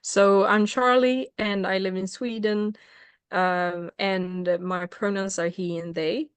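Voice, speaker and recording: A young non-binary student chairing a casual meeting on a video call, talking with many pauses and fillers. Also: medium pitch, fairly even intonation, clear, resonant tone, no echo.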